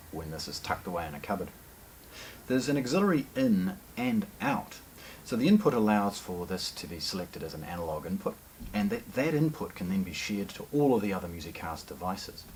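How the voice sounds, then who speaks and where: A man giving an unscripted product walkthrough in a measured, explanatory, slightly formal way, as a shop presenter. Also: deep voice, expressive, darkish tone, slight background noise, poor audio. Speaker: shop presenter hosting product unboxing video.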